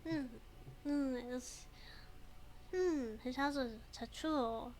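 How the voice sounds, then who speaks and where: A woman's voice: sits high, very slow, a little mellow, slight background noise. A woman commenting casually on a video game, giggling often and hesitating a lot. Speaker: game streamer. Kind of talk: casual let's play commentary.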